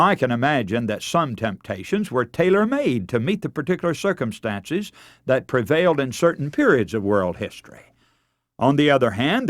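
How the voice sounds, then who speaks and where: American male preacher for a scripted radio sermon; formal and emphatic, widely varied intonation, mid-range pitch, very little room sound. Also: no room sound, studio quality. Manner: formal, emphatic oratorical delivery. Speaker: radio preacher and broadcast host.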